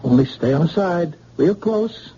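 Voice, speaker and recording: A drawling radio gangster. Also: male voice, on the high side, lively intonation, a little slow, mellow, slightly rough. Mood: menacing. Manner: slangy tough-guy talk, drawled threats. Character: menacing male gangster, cool and threatening. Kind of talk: 1940s radio acting. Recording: dull old radio recording, faint hiss.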